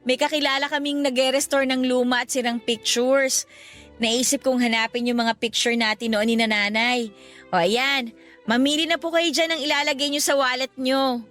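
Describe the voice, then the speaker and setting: Casting: teasing, affectionate daughter in radio drama; bright, high voice over soft music. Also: female voice, fairly even intonation, moderate pace. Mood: cheerful, teasing. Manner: teasing, jokey. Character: cheeky, loving daughter, teasing her parents. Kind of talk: Tagalog radio drama acting. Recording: music behind the voice.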